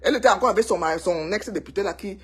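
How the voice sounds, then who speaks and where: Woman, Cameroonian French accent, husky, emphatic, repetitive, casual gossip monologue. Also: mid-range voice, rapid-fire. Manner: emphatic, excitable, repeats herself for effect. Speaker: vlogger.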